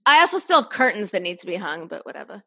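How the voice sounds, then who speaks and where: A woman's voice: medium pitch, very animated, quick pace, creaky, pushed and bright, no room sound. A woman in her forties hosting a podcast, playful and teasing, laughing often. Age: 40s. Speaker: podcast host. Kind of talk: casual podcast chat.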